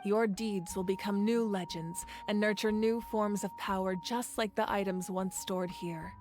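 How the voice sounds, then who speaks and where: A woman plays a wise, resolute warrior leader in a video game. Her mid-range voice is steady and formal, calmly reassuring, with music playing behind it. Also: medium pitch, normal speed, close to an everyday voice.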